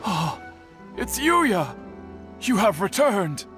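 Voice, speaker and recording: A kindly, thoughtful smithy master in a video game. He talks very slowly in a highish voice with animated rises and falls. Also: over music, full character voice. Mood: relieved, glad.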